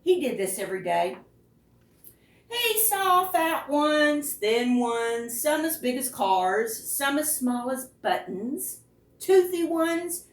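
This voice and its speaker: Animated woman reading aloud, lively pitch swings. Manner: animated, deliberate phrasing, asks the children questions. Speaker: children's librarian, storytime host. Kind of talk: expressive read-aloud of picture books for preschoolers. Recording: roomy, echoing, distant microphone.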